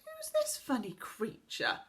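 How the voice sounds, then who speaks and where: A woman voicing a prim, rude young girl who speaks loudly and indignantly. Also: mid-range voice, sing-song, moderate pace. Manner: loud, indignant.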